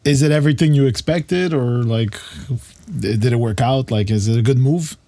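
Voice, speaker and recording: A man's voice: medium pitch, normal speed, dark tone, no echo. A man co-hosting a casual chat, talking in a blunt, joking way. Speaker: co-host.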